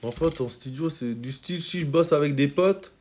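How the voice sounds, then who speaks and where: A man's voice: fairly high pitch, normal speed, a little mellow, a little hiss or hum. A man from Congo, a rapper guest, talking in a laid-back, slangy way and often saying "you see". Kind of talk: casual interview chat.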